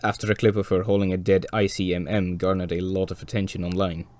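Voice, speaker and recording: Hoarse male narrator, deep-pitched, for a dry-witted documentary voice-over. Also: flat delivery, fast, darkish tone. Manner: dry, wry asides. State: hoarse from a cold. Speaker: railway video presenter.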